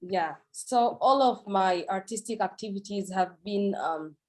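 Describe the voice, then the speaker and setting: Woman, mid-pitched, casual and halting self-introduction. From Tanzania. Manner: hesitant, repeating words as she speaks. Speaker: visual artist and environmental activist.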